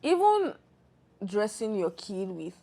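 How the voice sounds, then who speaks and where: Relaxed Nigerian woman chiming in. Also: medium pitch, slow, creaky. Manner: relaxed, chiming in, trailing off mid-thought. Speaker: podcast co-host. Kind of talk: casual chat between best friends.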